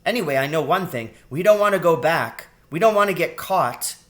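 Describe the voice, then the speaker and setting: A man's voice: on the high side, fairly quick, voice pushed up. A man voicing a small young girl rat in a storybook, childish, wary and chatty. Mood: eager explaining. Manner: childish, excitable, suspicious questioning. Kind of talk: storybook character voice in a read-aloud.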